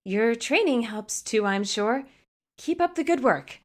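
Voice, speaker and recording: A woman acts out a guarded teenage girl hacker with a swooping, animated pitch. She is wry and hesitant, trying to stay vague in a storybook-style reading. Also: measured pace. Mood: awkward.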